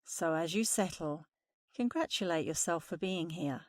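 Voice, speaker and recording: Woman, meditation guide, soft soothing delivery, slightly low voice, dry close sound. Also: dry recording. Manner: slow, soothing, soft-spoken guidance. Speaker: meditation instructor.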